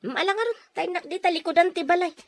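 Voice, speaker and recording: A woman's voice: very high voice, fast, no echo, studio quality. A woman with an Ilocano accent playing a house-proud, industrious neighbor in a radio comedy, firm and matter-of-fact. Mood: brisk, impatient.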